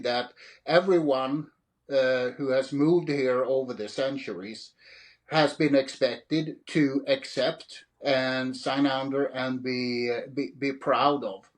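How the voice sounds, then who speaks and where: A man's voice: mid-range voice, fairly even intonation, slow pace. A middle-aged man from Sweden with a Swedish accent, answering interview questions as an author with frequent fillers and restarts. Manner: hesitant, many fillers and restarts. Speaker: author and guest.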